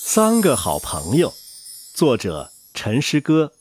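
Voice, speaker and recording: Very animated man telling a children's story. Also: mid-range voice, takes it slowly, breathy edge, no room sound. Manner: expressive, playful. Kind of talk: scripted children's story narration. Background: music.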